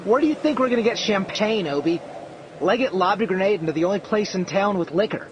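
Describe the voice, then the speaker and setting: A brash paratrooper in a war game. His voice is highish and lively, with a sarcastic edge and clear outdoor noise behind it. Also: fast. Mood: sarcastic, griping. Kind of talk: video game war drama acting.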